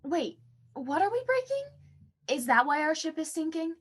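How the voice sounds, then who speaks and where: An actress playing a naive, jittery co-host in theatrical 1940s radio comedy style. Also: female voice, high voice, big pitch swings, moderate pace. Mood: alarmed, puzzled.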